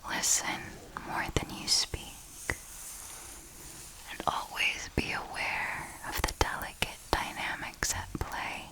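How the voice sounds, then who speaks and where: A woman playing an elegant, knowing vampire mentor in a breathy whispered ASMR roleplay, patient, refined and instructive. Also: close to an everyday voice, low-quality recording. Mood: hushed, instructive. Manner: slow, deliberate, refined, instructive.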